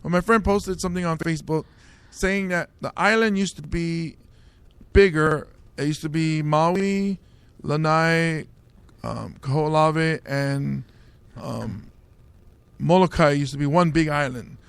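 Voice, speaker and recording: Samoan comedian guy hosting a podcast, kinda raspy and high, cracking jokes. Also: measured pace, dry recording. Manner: riffing, jokey, laughs at own bits. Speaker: host, stand-up comedian. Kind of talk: casual comedy podcast banter.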